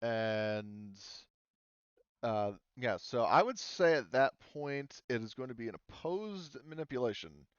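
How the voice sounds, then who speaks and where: A man narrating a tabletop roleplaying game as game master, improvising in a halting way with many pauses. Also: medium pitch, expressive, clear, resonant tone, dry recording, dull audio.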